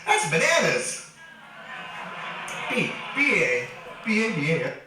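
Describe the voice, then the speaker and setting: A man giving a lecture to an audience, animated and joking, with a nagging cough. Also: high-pitched, slow, a little hiss or hum. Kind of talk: public lecture. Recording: echoey hall from far off.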